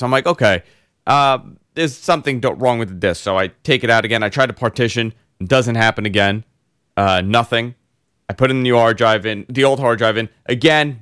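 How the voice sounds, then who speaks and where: A man hosting a casual tech podcast, hoarse and congested, telling long rambling stories full of fillers. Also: mid-range voice, no echo, clean studio sound. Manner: rambling, animated storyteller with many fillers. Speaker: host.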